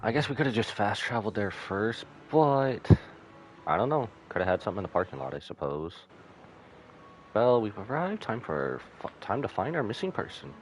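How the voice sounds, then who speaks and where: A man's voice: fairly low pitch, sing-song, normal speed, slight background noise, poor audio. A man streaming a game live, casually commenting, reading dialogue aloud and muttering asides to himself.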